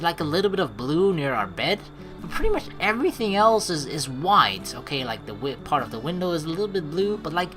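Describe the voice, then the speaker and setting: A young woman hosting a let's play, reading game narration aloud and commenting expressively in blunt, sweary language. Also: low-pitched. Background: game music.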